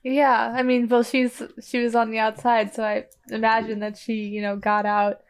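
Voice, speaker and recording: Higher-voiced woman chatting at a game. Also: flat delivery, normal speed. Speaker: tabletop game player. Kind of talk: casual chat.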